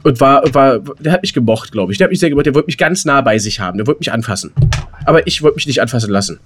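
A man's voice: mid-range voice, lively intonation, fast talker, slight background noise. A man co-hosting a podcast, telling anecdotes in a rambling, colloquial, casual way. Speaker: podcast co-host.

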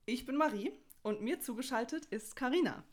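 A woman's voice: fairly high pitch, a little fast. A young woman hosting a casual podcast, talking in an upbeat, giggly and rambling way. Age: young adult. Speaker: podcast host. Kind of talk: casual chat.

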